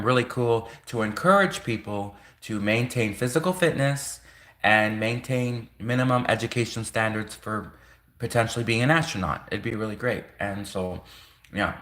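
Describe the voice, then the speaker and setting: A man's voice: on the low side, bright, forceful tone. A man who is a native Russian speaker, talking casually on a livestream in an earnest, rambling way and repeating phrases for emphasis. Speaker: livestream host.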